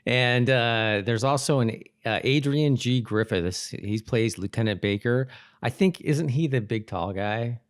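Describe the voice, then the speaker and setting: A man's voice: mid-range voice, a little slow, smooth, no echo, studio quality. A man co-hosting a podcast in casual conversation, rambling easily and correcting himself as he goes. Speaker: podcast host. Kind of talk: casual rewatch podcast chat.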